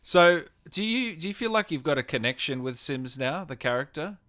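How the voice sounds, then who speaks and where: A man's voice: on the high side, animated, moderate pace, fairly bright tone. A man interviewing a guest as a livestream host, chatty and agreeable.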